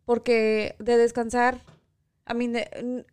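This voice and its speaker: A woman's voice: a little high, little pitch movement, takes it slowly, darkish tone, smooth. Easygoing young woman. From Chicago. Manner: easygoing, code-switching, jokey. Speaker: co-host, bank worker. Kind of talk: casual chat.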